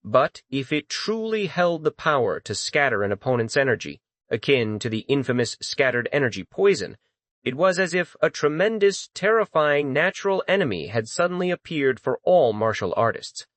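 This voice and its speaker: A man's voice: mid-range voice, sing-song, moderate pace, fairly bright tone, dry recording. Dry-sounding man narrating dramatic fantasy. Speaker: audiobook narrator.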